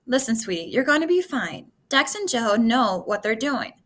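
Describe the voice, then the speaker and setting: A concerned father from a storybook, soothing and steady in manner, as a woman reader puts him on. The voice is bright, with animated pitch. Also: moderate pace. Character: concerned, steadying father, middle-aged man.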